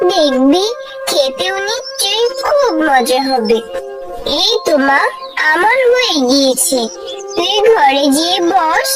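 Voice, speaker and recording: Woman as caring cartoon mom from a village, soothing, slow, loud music under it. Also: extremely high pitch, recorded from a distance, full character voice, low-quality recording. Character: caring village mother, gentle and indulgent. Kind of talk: cartoon dialogue.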